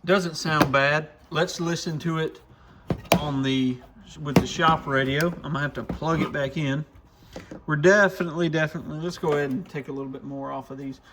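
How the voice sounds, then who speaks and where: Male product-demo host, slightly high pitch, informal think-aloud delivery, faint background noise. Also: steady tone, normal speed. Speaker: radio shop reviewer and video host. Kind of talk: casual hands-on product demo.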